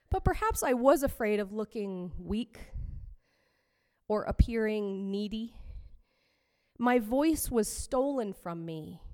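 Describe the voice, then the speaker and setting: A woman minister giving a personal, reflective sermon in a measured way. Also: mid-range voice, a little mellow. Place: fellowship hall. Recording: long hall reverb.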